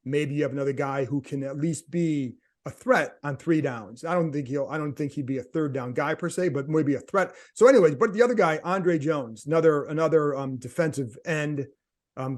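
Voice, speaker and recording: A man's voice: on the high side, a little fast, a little mellow. A man hosting a football podcast, asking a guest conversational questions about draft picks. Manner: conversational questioning with brief affirmations. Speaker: podcast host. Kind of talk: casual podcast interview.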